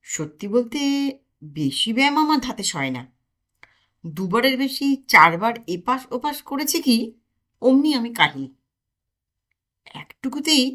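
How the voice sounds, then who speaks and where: A woman's voice: fairly high pitch, a bit bright, voice pushed up. A woman voicing a lazy, mischievous young man who teases his friend with mock drama.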